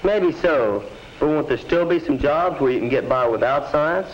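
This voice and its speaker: A man's voice: a little high, lively intonation, moderate pace, close to an everyday voice. A young male actor playing a casual, skeptical American teenage son in a 1950s educational film. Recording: muffled, hissy 1950s film soundtrack.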